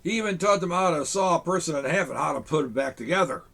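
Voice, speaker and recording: A man reading a children's picture book aloud, with chuckling, animated asides to young listeners. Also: a little high, fast. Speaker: storyteller in a Santa persona.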